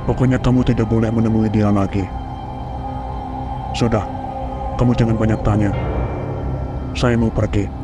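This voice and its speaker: Stern older uncle in a horror cartoon, low and flat, loud creepy music behind, played by a man. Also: moderate pace, long pauses, close to an everyday voice. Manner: stern, scolding, curt. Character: stern older uncle Pak Dek, secretive, harsh.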